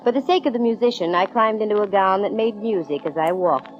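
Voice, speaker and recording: A woman acting a breezy female private eye in a 1940s radio play. She tosses off wry, flippant quips in a mid-pitched voice. The recording is dull and vintage. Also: a little fast, loud music behind the voice, close to an everyday voice. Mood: wry storytelling.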